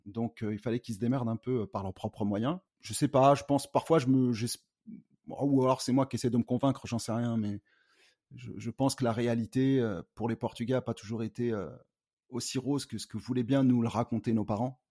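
Relaxed, halting man in an interview. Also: medium pitch. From Paris suburbs, France. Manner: hesitant, halting, colloquial. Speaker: guest, rap artist. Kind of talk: casual interview talk.

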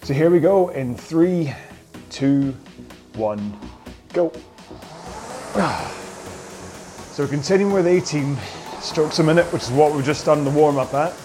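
A man coaching a rowing workout, calling out cues in an upbeat, jokey way, his voice slightly hoarse. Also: a little high, a little slow, long pauses, a little mellow. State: a bit hoarse. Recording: music playing behind. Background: workout music.

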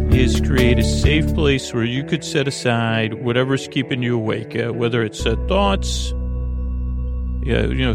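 A man hosting a sleep podcast, with a gravelly voice and a halting, meandering delivery that stammers, repeats words and trails off. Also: medium pitch. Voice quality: gravelly, creaky. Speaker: host of a bedtime sleep podcast. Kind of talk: rambling bedtime monologue.

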